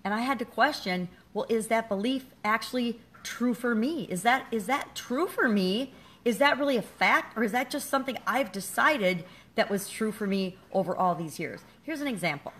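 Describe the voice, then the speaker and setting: A woman's voice: on the high side, lively intonation, normal speed, fairly bright tone. Middle-aged woman, business-coach vlogger, candid and self-deprecating, conversational. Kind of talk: casual motivational talk to camera.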